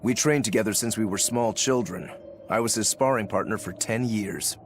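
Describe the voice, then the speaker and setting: A male actor plays a determined demon swordsman from a video game, low in pitch and measured in delivery. He explains himself with stoic resolve, over background music. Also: natural voice. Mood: earnest, resolute. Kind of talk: English video game voice acting.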